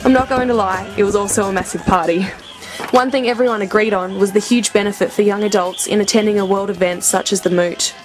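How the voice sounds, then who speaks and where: A woman's voice: medium pitch, steady tone, quick pace, music in the background, a crowd in the background. A woman reporter reading an upbeat, scripted voice-over for a news piece.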